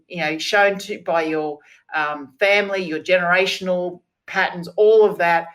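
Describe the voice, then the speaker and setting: A middle-aged woman with an Australian accent talks easily as a podcast guest, her voice sitting on the low side. She chats along freely, stopping to correct herself and fill gaps with small "ums.". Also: a bit bright. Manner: chatty, with fillers and self-corrections. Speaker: guest, naturopath. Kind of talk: casual interview chat.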